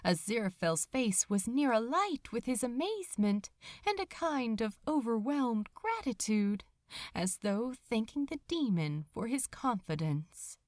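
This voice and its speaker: Animated, unhurried woman narrator. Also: fairly high pitch, no room sound, studio quality. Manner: dramatic storytelling. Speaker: podfic narrator. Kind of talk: expressive scripted fiction narration.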